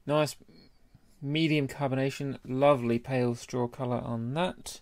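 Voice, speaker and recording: A man's voice: mid-range voice, fairly even intonation, a little slow, no room sound. A British man co-hosting a podcast, speaking in a casual, conversational way. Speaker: podcast co-host. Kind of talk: casual beer podcast chat.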